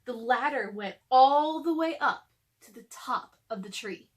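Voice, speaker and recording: A woman's voice: high voice, expressive, a little slow. A young adult woman chatting casually to children and reading a storybook aloud to them.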